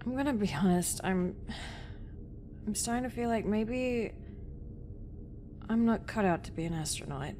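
A woman's voice: expressive, unhurried, long pauses, dark tone, natural voice. A woman playing a worried, doubting young astronaut with a hesitant manner in an audio roleplay. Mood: anxious, hesitant. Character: anxious, doubting, easily exasperated young female astronaut. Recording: faint low rumbling noise.

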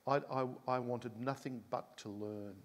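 A man's voice: mid-range voice, a little slow, big-room sound. A man, a composer answering an audience question on a stage panel. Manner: animated, laughing, gestures while talking. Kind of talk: reflective panel talk. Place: concert hall stage, panel before an audience.

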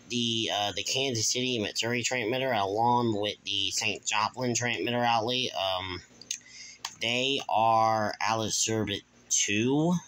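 A man giving a casual, halting, repetitive show-and-tell narration into a handheld phone in a room at home. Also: on the low side, sharp tone, poor audio. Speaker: video host.

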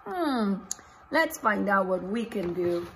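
A woman's voice: mid-range voice, very animated, slow pace, a bit bright, a little hiss or hum. A middle-aged woman teacher reading a picture book aloud, expressively, putting questions straight to young children. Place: indoors, small room with posters and books.

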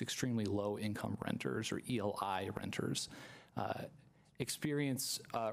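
A young man with a gravelly, mid-pitched voice delivers earnest public comment at a council podium. He speaks measuredly, dotting his points with fillers. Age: young adult. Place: council chamber. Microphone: podium microphone.

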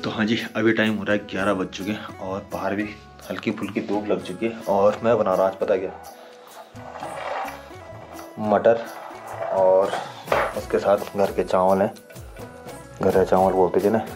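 A man, chatting playfully to viewers in a casual vlog. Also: low-pitched. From Uttarakhand, India. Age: 20s. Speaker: vlogger. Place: village house kitchen and stone courtyard. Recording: music laid under the voice. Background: music.

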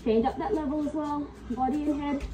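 A woman leading a kickboxing class at home, giving brisk coaching cues while sniffly from a cold, recorded on a far camera. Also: sits high, fairly even intonation. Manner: coaching cues, counting time, brisk commands. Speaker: fitness instructor. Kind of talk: live kickboxing class instruction. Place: home workout room. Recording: distant camera mic in a room with faint noise.

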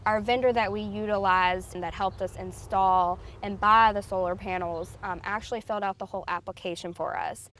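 A woman's voice: mid-range voice, fairly even intonation, normal speed, hardly any pauses, fairly bright tone. Woman farmer speaking outdoors, filler-heavy. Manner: conversational, frequent "um" fillers. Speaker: farm family member. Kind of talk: interview. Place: outdoors in tall grass by trees.

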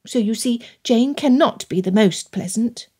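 A woman narrator acts out a brash youngest daughter in a Regency story. She is a self-centred teenager, whining and petulant, with the pitch pushed up. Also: unhurried, husky. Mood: boastful, whining. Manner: whining, blurting loudly.

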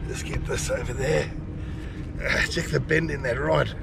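A man's voice: medium pitch, a little slow, creaky. A man in his thirties fishing from a kayak on the open sea, giving casual commentary into an action camera and whooping as the fish run. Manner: excited whoops and cheering while fighting fish. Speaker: kayak angler. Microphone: action camera on the boat. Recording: outdoor camera with wind and water noise.

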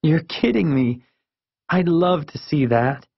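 A man's voice: fairly high pitch, expressive, takes it slowly, gravelly, husky, no room sound, dull audio, voice pushed up, exaggerated voice. A man voicing a frank, spirited young schoolgirl in an audiobook, speaking emphatically and earnestly. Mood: curious, amused.

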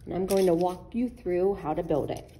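A woman's voice: on the low side, normal speed, warm tone, slight background noise, poor audio. A woman giving patient, simple step-by-step craft instructions for children, as a children's librarian would. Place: indoors at a wooden table.

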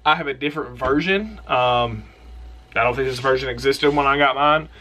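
A young man hosting an outdoors channel, recommending camping gear in a relaxed, rambling, enthusiastic way from a small room. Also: mid-range voice, moderate pace, slight background noise. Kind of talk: casual product recommendation vlog.

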